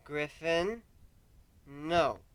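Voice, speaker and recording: Man as a soft-spoken, flustered pony princess. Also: a little high, very slow, clear, resonant tone, dry recording. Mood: stern. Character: gentle, dignified pony princess, female, easily flustered.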